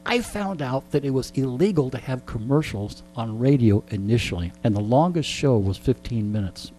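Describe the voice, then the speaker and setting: A man in his sixties in a radio interview, chatting like an animated storyteller and turning aside to address listeners. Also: medium pitch, normal speed. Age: 60s. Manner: frequent throat clearing. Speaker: radio show host being interviewed. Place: radio studio. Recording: clear background hum and noise.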